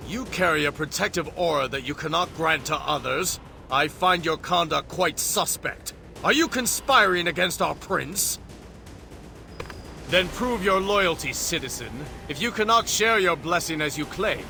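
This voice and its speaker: Pompous army officer in a game, highish gravelly voice, stern n suspicious, music going behind. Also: male voice, sharp tone, full character voice. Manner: formal, imperious, theatrical. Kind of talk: video game voice acting.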